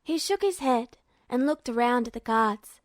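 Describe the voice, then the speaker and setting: Female audiobook narrator for fan fiction: high register, scripted storytelling, close dry sound. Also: animated, moderate pace, no echo.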